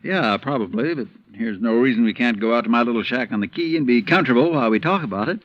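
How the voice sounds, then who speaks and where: An actor playing an easygoing, joking insurance branch manager in a 1950s radio drama. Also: male voice, mid-range voice, fast talker, no echo, lightly acted. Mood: breezy. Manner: relaxed, joking and breezy. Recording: muffled old radio recording, faint hiss.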